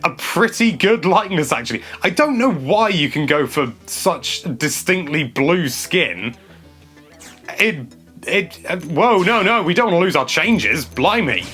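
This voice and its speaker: A man's voice: fairly high pitch, expressive, a little slow, bright, forceful tone, soft background music. A young man chatting casually and self-mockingly while building a game character. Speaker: games presenter. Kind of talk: casual gameplay commentary.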